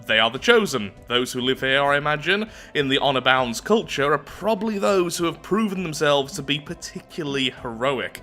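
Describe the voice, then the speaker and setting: A man hosting a gaming video, chattily giving casual roleplay playthrough commentary in an animated, self-deprecating way. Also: on the high side, fairly quick, hardly any pauses. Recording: music behind the voice. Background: background music.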